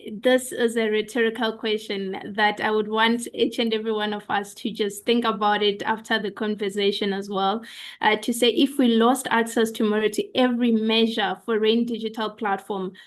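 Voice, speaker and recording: A woman moderating a panel discussion, framing thoughtful questions for the speakers with frequent fillers. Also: medium pitch.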